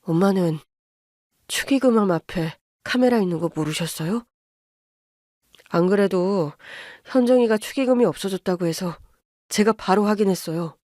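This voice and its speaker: A woman voicing a mild young husband who speaks low, measured and firm, holding back anger. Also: darkish tone, no room sound, clean studio sound, close to an everyday voice. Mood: calm resolute.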